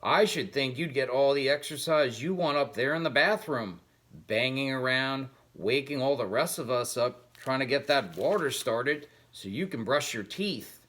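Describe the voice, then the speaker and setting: A man's voice: mid-range voice, a bit bright. A man voicing a smug male house cat in a storybook, scornful and needling.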